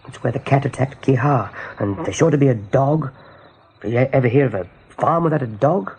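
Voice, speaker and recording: A man's voice: medium pitch, fairly quick, darkish tone, slightly rough. An actor playing an anxious young male rabbit seer in a radio play, urgent, hesitant and pleading. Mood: anxious, accusing. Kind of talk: radio drama acting.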